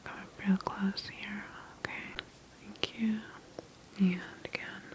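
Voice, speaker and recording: A woman whispers softly and gently in an ASMR medical roleplay, playing an attentive doctor. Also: medium pitch, little pitch movement, slow, low-quality recording.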